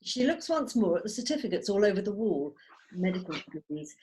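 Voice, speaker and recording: Woman narrating in a medium-pitched voice. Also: sing-song, brisk, darkish tone, slight background noise. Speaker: narrator reading stage directions. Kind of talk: scripted narration. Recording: video call.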